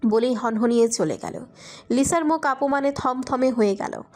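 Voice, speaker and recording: A woman's voice: high voice, normal speed, a little mellow. A woman reading scripted romantic fiction as a narrator.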